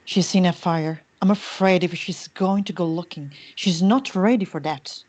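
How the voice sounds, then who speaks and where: A woman's voice: on the low side, steady tone, normal speed, darkish tone, natural voice. A woman playing a guarded, dismissive female cult member in a screenplay reading, matter-of-fact in manner. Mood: worried.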